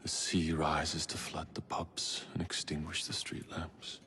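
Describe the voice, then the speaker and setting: An actor playing a grim, resolute villain mastermind in a dramatic game monologue, speaking in a portentous, measured, declamatory way. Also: male voice, a bass voice, completely flat delivery, pushed and bright, whispered, heavily acted. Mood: grave resolve.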